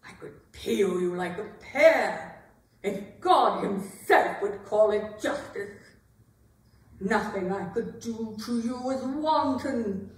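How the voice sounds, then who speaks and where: A woman playing a bitter, taunting aging queen in a theatrical staged reading, with biting, deliberate delivery. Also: medium pitch, animated. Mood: bitter, wounded. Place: arts center stage, recorded from afar. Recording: very echoey hall, distant mic.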